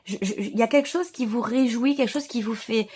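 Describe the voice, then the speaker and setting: Higher-pitched woman giving a tarot reading. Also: brisk, darkish tone, husky. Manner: hesitant, searching phrasing with repeated words. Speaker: tarot reader and channel host. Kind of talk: informal tarot reading addressed to viewers.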